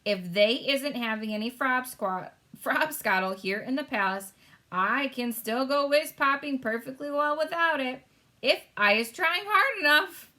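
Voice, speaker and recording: Woman putting on a loud, excitable friendly male giant. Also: high-pitched, a little slow, voice pushed up, exaggerated voice. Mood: gleeful. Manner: exclaiming in garbled grammar and made-up words.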